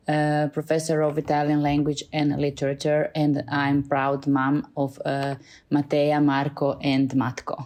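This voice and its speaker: A woman's voice: deep voice, darkish tone. A middle-aged Croatian woman with a Croatian accent, a school librarian answering podcast questions in English with many fillers and restarts, recorded in a school library. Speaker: school librarian and Italian teacher, guest. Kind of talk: podcast interview.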